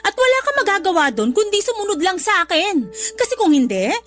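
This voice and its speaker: A woman's voice: very high voice, big pitch swings, a little fast, faint music behind. An actress playing a cruel, haughty stepmother in a radio drama, scolding and bossy. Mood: threatening. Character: cruel, domineering live-in stepmother, spiteful and haughty. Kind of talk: Tagalog radio drama acting.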